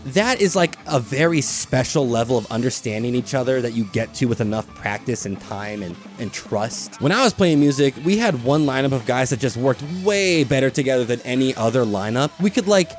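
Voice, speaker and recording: A man's voice: mid-range voice, expressive, fairly quick, hardly any pauses, soft background music. A man hosting a casual video essay, chatty and blunt, with lots of "like" and cheerful profanity. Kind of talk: casual commentary.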